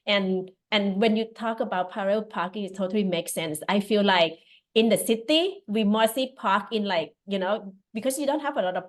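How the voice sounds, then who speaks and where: A woman from Thailand hosting a casual interview, speaking in a friendly, halting way with many fillers. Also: medium pitch. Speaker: interview host.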